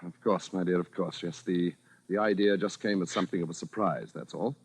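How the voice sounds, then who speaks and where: A man's voice: low-pitched, steady tone, a little fast, a little hiss or hum. An actor playing a furtive, evasive married Englishman with delusions of station, speaking formally and hesitantly in 1940s radio suspense style. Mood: placating. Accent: British. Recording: vintage radio drama recording, faint music.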